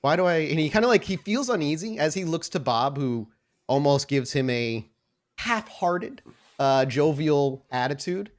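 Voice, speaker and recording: A man improvising narration as game master of a tabletop game, informal and halting as he corrects himself. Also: on the high side, expressive.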